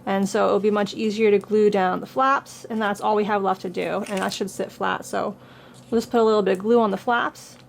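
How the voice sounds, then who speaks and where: A woman's voice: mid-range voice, flat delivery, fairly quick, gravelly, a little hiss or hum. A woman who is getting over a cold, walking through a paper craft tutorial with plain, patient, step-by-step instructions. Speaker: craft blogger.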